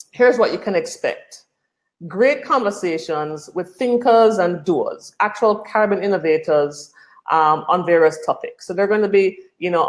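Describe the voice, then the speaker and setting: Chatty woman hosting live. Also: mid-range voice. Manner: chatty, upbeat, many fillers. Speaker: host. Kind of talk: live online tech show hosting.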